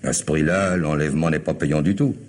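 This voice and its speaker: An actor in an old French radio mystery playing a self-assured, wry senior police commissioner with a husky voice and measured, insistent delivery. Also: male voice, a bass voice. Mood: wry, reasoning. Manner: measured, insistent, short repeated phrases.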